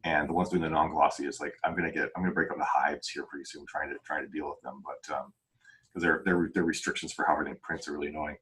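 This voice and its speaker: A man's voice: rumbling low, fairly even intonation, fast talker, fairly bright tone. A man chatting casually and informally on a podcast as an independent author and host, using slangy, chatty wording. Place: video call group podcast.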